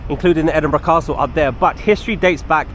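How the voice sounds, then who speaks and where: A man's voice: fairly high pitch, quick pace, a little hiss or hum. A man with an English accent talking casually and enthusiastically as a travel vlogger, leaning on emphatic words. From England. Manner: enthusiastic, repeats intensifiers. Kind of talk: casual walking travel vlog. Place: outdoors on city streets and in a park.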